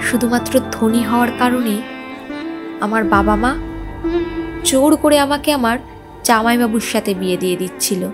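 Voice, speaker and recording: A woman voicing a young wife in a cartoon who pleads in a high voice. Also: moderate pace, pauses often, over music, natural voice. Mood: sorrowful.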